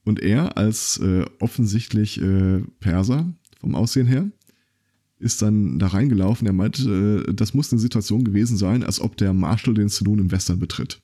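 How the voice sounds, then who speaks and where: A man talks in a low register with a warm, dark tone. He hosts a casual podcast and tells his stories loosely, full of fillers and restarts. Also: normal speed. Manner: rambling storyteller, many fillers and restarts. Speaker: podcast host.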